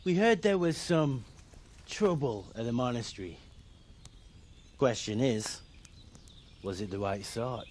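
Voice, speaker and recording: Sly male bandit, game cutscene, middle pitch, brusque, needling, faint outdoor nature sounds. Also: expressive, takes it slowly, pauses often, a little hiss or hum. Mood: offhand, mocking. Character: sly, brusque bandit recruiter, casually mocking. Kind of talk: video game cutscene acting.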